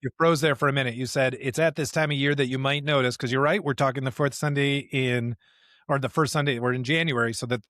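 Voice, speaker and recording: A man's voice: mid-range voice, steady tone, fast, fairly bright tone, no echo. Earnest American man, close dry sound. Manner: earnest, reflective, preacherly with rhetorical questions. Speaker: podcast co-host. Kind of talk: conversational discussion of scripture.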